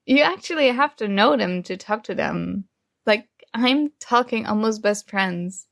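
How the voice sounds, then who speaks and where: A woman playing a secretly jealous, teasing roommate in an intimate one-sided ASMR roleplay, with hesitant pauses. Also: medium pitch, vocal fry, a bit bright. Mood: skeptical, prodding. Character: secretly jealous female roommate, teasing, hurt but feigning indifference.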